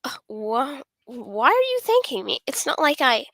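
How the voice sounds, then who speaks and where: A woman's voice: sits high, big pitch swings, a little slow, fairly bright tone, no room sound, clean studio sound, heavily acted. A woman voicing a flustered, prickly teenage schoolgirl from a visual novel, stammering and defensive. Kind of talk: visual novel character read on stream.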